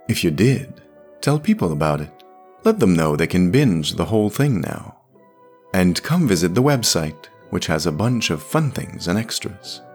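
A man reading scripted narration and announcements as the writer and narrator of a podcast. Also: sits low.

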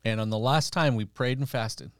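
A man's voice: medium pitch, normal speed, no echo, clean studio sound. A middle-aged man in an interview, telling personal stories in a conversational way and acting out bits of dialogue. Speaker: missionary guest.

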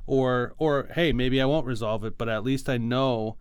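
A man's voice: mid-range voice, moderate pace, clear, resonant tone, dry recording. A man co-hosting a podcast, talking casually and technically about music in an informal way peppered with "like" and "you know". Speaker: podcast co-host.

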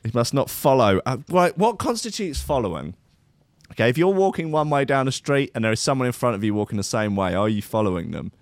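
A man's voice: mid-range voice, sing-song, fairly quick, no echo. A man hosting a comedy show, reading out a news story with sardonic, mocking asides and laughing at his own jokes.

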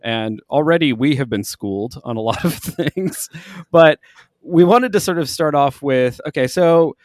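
A man's voice: fairly high pitch, expressive, measured pace, no room sound, clean studio sound. A man co-hosting a podcast, asking loose, rambling questions in a casual, conversational way. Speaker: podcast co-host.

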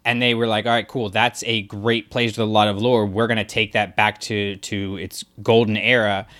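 A man co-hosting a podcast, talking casually with lots of fillers and getting excited as ideas come to him. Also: lowish voice, normal speed. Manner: rambling, filler-heavy, gets excited. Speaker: podcast co-host.

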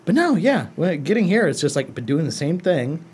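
Man in his thirties, husky voice, swings up and down a lot, candid, thoughtful. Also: a little high, very animated, a little fast, a little mellow. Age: 30s. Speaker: co-host of detailing talk show. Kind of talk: casual studio chat. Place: studio.

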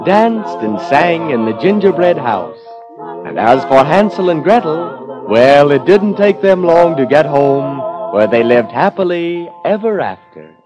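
A man's voice: fairly high pitch, sing-song, measured pace, music in the background. A man narrating a children's fairy tale in an old-time radio storytelling style. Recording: dull lo-fi old radio recording.

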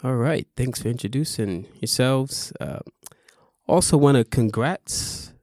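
A man hosting a casual podcast interview, upbeat and energetic, with some fillers. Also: medium pitch, a little slow, dry recording, studio quality. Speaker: podcast host.

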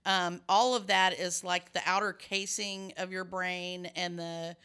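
A middle-aged woman with a bright, forward tone, though her voice sounds scratchy today. She explains things in a loose, rambling way, circling back and restarting her sentences as she hosts a relaxed conversation. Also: fairly low pitch, a little slow, clean tone. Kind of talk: casual chat.

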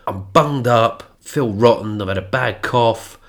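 A man's voice: measured pace, vocal fry. A man with a blocked, nasal voice from a cold, co-hosting a casual podcast in a sweary, mocking way. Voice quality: nasal, stuffy. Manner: sweary, mocking, jumps into high mimicking voices. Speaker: podcast co-host. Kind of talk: casual conspiratorial chat.

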